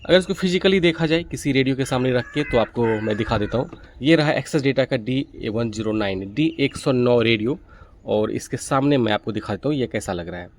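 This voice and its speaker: A man's voice: mid-range voice, lively intonation, brisk. A man hosting a gadget review, explaining things methodically, step by step. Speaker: gadget reviewer, video host. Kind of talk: product review walkthrough, explanatory.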